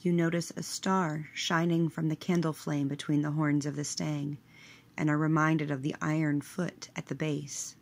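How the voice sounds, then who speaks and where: A woman leading a scripted guided meditation in a measured, hushed manner, letting her phrase endings trail away. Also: sits low, flat delivery, vocal fry.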